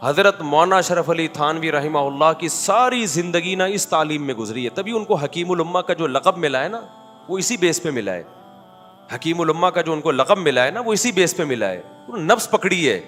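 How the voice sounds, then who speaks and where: Animated preacher man in a reverberant hall. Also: fairly high pitch, brisk, faint music behind. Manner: animated, storytelling with quoted dialogue and rhetorical questions. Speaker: Islamic preacher. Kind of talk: conversational religious talk.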